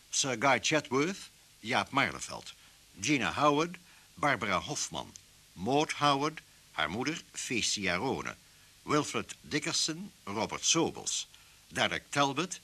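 A man formally reading radio drama credits as a measured, scripted announcement. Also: medium pitch, pushed and bright, slightly rough.

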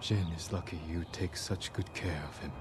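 An actor playing a stoic, gentle samurai in a game cutscene, speaking with solemn, restrained, gently firm care. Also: male voice, deep voice, moderate pace, noisy background, natural voice. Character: stoic, gentle samurai warrior caring for an old woman. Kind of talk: dramatic video game cutscene acting. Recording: music behind the voice.

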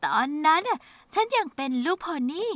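Very high-pitched, spirited cartoon pony girl. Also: animated, brisk, background noise. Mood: nostalgic. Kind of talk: animated cartoon dubbing. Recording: music behind the voice. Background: background music.

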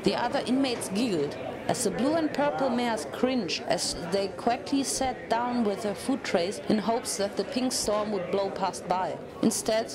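A woman reading a story aloud as a dramatic, scripted narration. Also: mid-range voice. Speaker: narrator of fan fiction.

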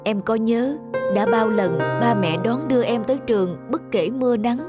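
A man voicing a kind, earnest young man in a cartoon, gently reasoning. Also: sits high, moderate pace, loud music behind the voice, close to an everyday voice. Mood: gently reproving. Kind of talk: animated cartoon dubbing.

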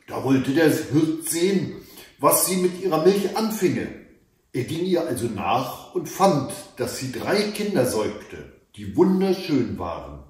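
Middle-aged male storyteller, unhurried and animated, reading a tale aloud in a reverberant room. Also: a little high. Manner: deliberate, careful storytelling delivery. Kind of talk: expressive read-aloud fairy tale narration. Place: indoors in a book-lined room at home. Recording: echoey room, mic away from speaker.

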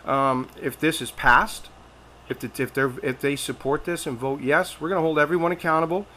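Bright-voiced insistent American man on a call. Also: fairly high pitch, steady tone, moderate pace, a little hiss or hum. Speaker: activist caller. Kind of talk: political phone complaint to congressional offices.

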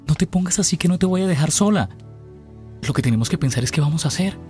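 A man's voice: on the high side, expressive, fast talker, a little mellow, faint music behind, lightly acted. An actor playing a nervous, earnest boyfriend in his twenties in a radio soap, with a Colombian accent. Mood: nervous, reassuring. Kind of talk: radio soap opera acting.

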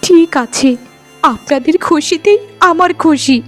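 A woman's voice: very high voice, a little slow, faint music behind. A woman playing a humble, rejected young wife in a melodramatic cartoon, speaking in a plaintive, lamenting manner. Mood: despairing.

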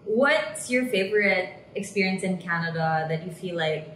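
A young woman with a slightly low voice hosts an interview, asking questions in a chatty, encouraging way. The room sounds echoey, and there is a faint hum behind her. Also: moderate pace, fairly bright tone. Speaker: interview host. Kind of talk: casual interview chat. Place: bright indoor room. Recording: roomy echo with faint hum.

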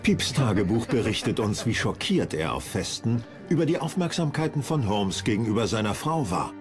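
Guy narrating a history doc, fairly low voice, animated read, bit of music behind. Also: a little fast. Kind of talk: scripted documentary narration.

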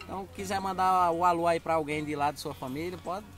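A man's voice: fairly high pitch, steady tone, quick pace, fairly bright tone. A man hosting a casual interview outdoors on a farm. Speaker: interviewer, channel host. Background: music.

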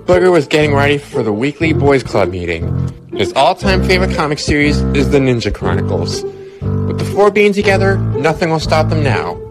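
A man serves as storytelling host, reading scripted storybook narration. His pitch is medium and his delivery animated, with loud music over the voice. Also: a little fast.

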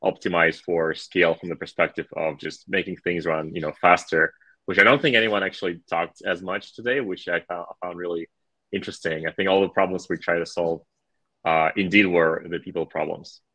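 Very deep-voiced man moderating a panel. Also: fairly even intonation, sharp tone, no room sound, poor audio. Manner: halting, many filler sounds and restarts. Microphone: video call.

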